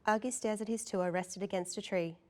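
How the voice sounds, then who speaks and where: A woman's voice: medium pitch, steady tone, fairly quick, a little mellow. A woman reading scene directions as the narrator of a screenplay table read.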